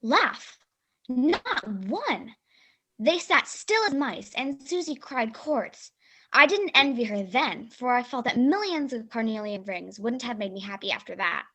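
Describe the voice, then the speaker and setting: A woman's voice: fairly high pitch, lively intonation, unhurried, bright, forceful tone, heavily acted. A woman playing a dramatic, self-important schoolgirl in a radio play rehearsal, telling her tale with emphatic melodrama. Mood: melodramatic, appalled. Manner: melodramatic, emphatic, mangles big words.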